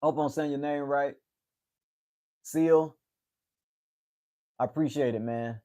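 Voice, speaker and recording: A man's voice: a little high, fairly even intonation, slow, warm tone. A man in his late twenties chatting casually on his own livestream, rambling and repeating himself, with "man" at the end of many sentences. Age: 20s. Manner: rambling, repeats words, says "man" a lot. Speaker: livestream host.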